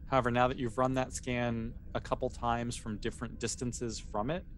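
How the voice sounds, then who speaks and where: A man narrating a tabletop sci-fi game as game master, haltingly, often restating his phrases. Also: mid-range voice, little pitch movement, slight background noise.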